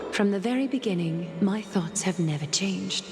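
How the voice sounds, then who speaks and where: An actress playing a dignified, composed woman leader in a game, speaking formally and tenderly in a solemn, measured way. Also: mid-range voice, close to an everyday voice. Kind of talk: scripted dramatic game voice acting, monologue. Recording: echoey hall reverb with music behind. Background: music.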